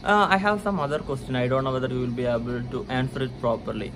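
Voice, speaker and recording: Young male vlog host, mid-pitched, polite and a little halting, close camera in an indoor lounge. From India. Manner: polite, slightly halting questions with fillers. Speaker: vlog host interviewing a colleague. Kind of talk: casual interview questions.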